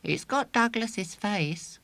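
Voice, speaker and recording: High-pitched sceptical little boy. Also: lively intonation, slow pace, gravelly, bright, forceful tone, full character voice. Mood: doubting. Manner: doubting, grumbling. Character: sceptical young boy heckler in small audience. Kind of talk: children's storybook character voice.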